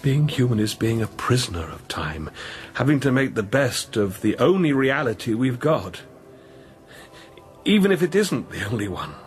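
A man acts a weary priest in a radio drama, burdened by guilt and searching for answers. His voice is mid-pitched, brooding and intense, halting as he goes. Faint music sits behind him. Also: big pitch swings, natural voice. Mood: reflective, resolute.

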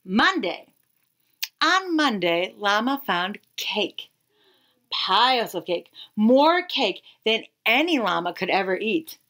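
A woman in her thirties reading a children's picture book aloud expressively, with dramatic pauses and emphasis. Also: mid-range voice, very animated, pushed and bright. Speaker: read-aloud storyteller.

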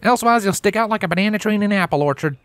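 A man's voice: high voice, expressive, racing, fairly bright tone, clear, resonant tone, no echo, pitched above the performer's own voice. A man voicing a cheerful, folksy country pony mare with a Southern country drawl. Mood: teasing. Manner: folksy and drawling, chuckling at her own jokes.

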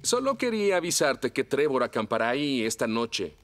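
A friendly heroic cartoon fireman played by a man with a Latin American Spanish accent. His delivery is lively, with loud music underneath. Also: mid-range voice, moderate pace, natural voice. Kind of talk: animated cartoon dub.

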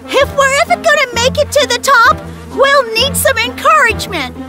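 An actor voicing an earnest little female soda can in a cartoon, squeaky, plaintive and innocent. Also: normal speed, bright, forceful tone, soft background music. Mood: urging. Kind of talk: cartoon character acting.

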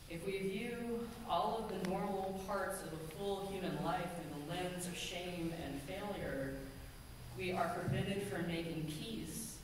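A middle-aged woman minister preaching a sermon from a pulpit, measured and earnest, slowing down to stress key phrases. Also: fairly low pitch. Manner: measured, earnest, slows for emphasis. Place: large church sanctuary, at a pulpit. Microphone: pulpit gooseneck mic, far camera. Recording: echoey hall from far off, ambient noise.

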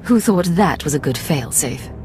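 An actress playing a cool, commanding imperial secret agent in a video game, curt and stern. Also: female voice, low-pitched, lively intonation, normal speed, a little mellow, slight background noise. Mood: cold, stern.

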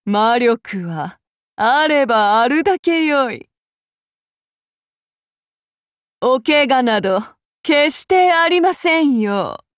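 A calm, menacing samurai woman. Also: high-pitched, slow, no echo, studio quality. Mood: devoted. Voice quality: formal, archaic courtly speech. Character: calm, menacing samurai woman, demon-blooded and devoted to her master. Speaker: game character voice actress. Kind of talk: game character voice lines.

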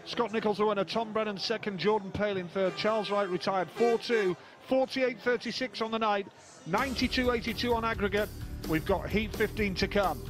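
A man as lead sports commentator, calling results briskly and handing over to reporters. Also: sits high, faint music behind.

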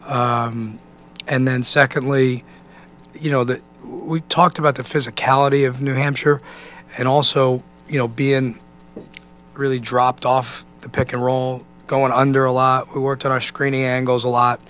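An American man in his forties, a basketball coach answering press questions in a halting, conversational way, often saying "you know.". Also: medium pitch, flat delivery, a bit bright. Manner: halting, thinking aloud, peppered with 'you know'. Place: indoor press conference room. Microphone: microphone on a stand at a table.

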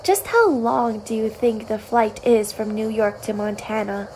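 A woman's voice: a little high, fairly even intonation, measured pace, a little mellow, slight background noise, low-quality recording. A woman playing a bitter, fed-up, sarcastic wife with a cutting manner in a radio play. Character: bitter, sardonic, fed-up wife of rich spouse.

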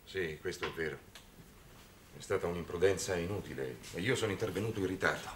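A man's voice: low-pitched, quick pace, close to an everyday voice. A man acting in a 1960s television drama as a brave, caring middle-aged priest. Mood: earnest. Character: brave, caring priest devoted to his boys. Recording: noisy old 1960s TV soundtrack.

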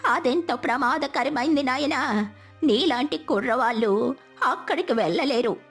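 A woman's voice: high voice, measured pace, a bit bright, breathy edge, pitched above the performer's own voice, heavily acted. A woman voicing a shape-shifting sorceress disguised as an old woman, cackling and coaxing, for a storybook tale. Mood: sly. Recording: faint music behind.

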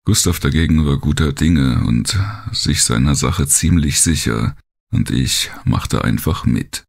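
A man reading a dark first-person thriller audiobook as narrator, with a deep, gravelly, husky voice. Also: measured pace.

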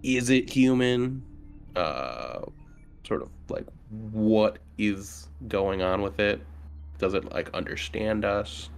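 A man's voice: fairly low pitch, slow pace, vocal fry, clean tone, soft background music. A man chatting casually as a player in a tabletop role-playing game.

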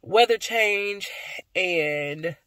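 A woman's voice: lowish voice, drawn-out words, fairly bright tone, no echo. Congested, tired young woman vlogging. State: congested, stuffy nose from a cold, tired. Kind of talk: casual vlog chat to camera. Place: inside a car at night. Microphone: phone at arm's length.

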